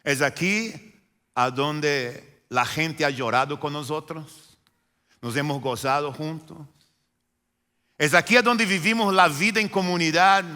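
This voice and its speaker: Man, native Portuguese speaker with a Brazilian accent, pastor preaching in a reverberant church sanctuary; emphatic repetitions. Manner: preaching with repetition and swings from loud emphatic lines to quiet low ones. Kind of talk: sermon.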